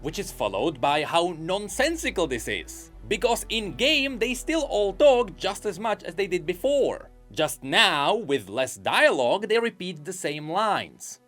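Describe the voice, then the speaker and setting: A man giving scripted commentary on game lore, animated and explanatory. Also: high voice, unhurried, few pauses, soft background music. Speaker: video essayist on game lore.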